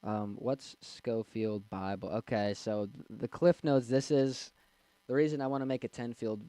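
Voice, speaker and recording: A man in his twenties with a young, slightly squeaky voice, casually rambling and answering chat on a livestream. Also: steady tone, a little slow, warm tone, dry recording. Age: 20s. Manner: rambling, with fillers and restarts. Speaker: streamer reading chat. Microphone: desk mic.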